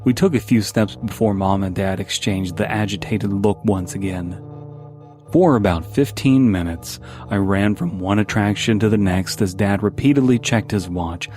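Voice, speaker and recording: A man's voice: on the low side, normal speed, darkish tone. A man reading a scripted first-person horror story as its narrator. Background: background music.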